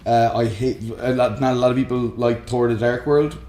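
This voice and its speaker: A man's voice: medium pitch, fairly even intonation, brisk, warm tone, roomy sound. A man co-hosting a casual, jokey banter show about movies, often chuckling as he talks. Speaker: co-host of a film discussion show.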